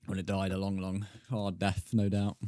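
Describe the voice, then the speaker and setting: A man's voice: sits low, monotone, normal speed, mellow, smooth, dry recording. An English man chatting casually with viewers as a live streamer, in a rambling, matey way. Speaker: video game live streamer.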